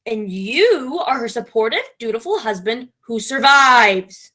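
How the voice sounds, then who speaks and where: Woman acting a pompous, high-pitched, bright-voiced genius director. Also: lively intonation, unhurried, heavily acted. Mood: imperious. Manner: grandiose, imperious, theatrical. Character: pompous, domineering female radio playwright-director, self-declared genius. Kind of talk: theatrical comedy acting.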